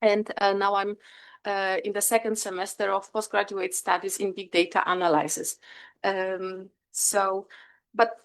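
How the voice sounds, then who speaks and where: A woman's voice: medium pitch, level tone, measured pace, a little hiss or hum. A woman from northeast Poland with a Polish accent presents her research as a guest academic, speaking hesitantly and correcting herself as she goes.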